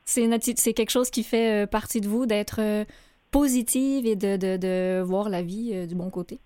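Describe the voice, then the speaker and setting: A woman hosting a radio interview show, speaking gently with many hesitations. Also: medium pitch, steady tone, normal speed, no room sound.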